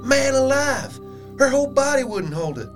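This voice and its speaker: Plain-spoken earnest young suitor, music behind. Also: male voice, extremely high pitch, big pitch swings, moderate pace. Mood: shocked. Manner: plain-spoken, folksy, emphatic. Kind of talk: dramatized gothic audio drama.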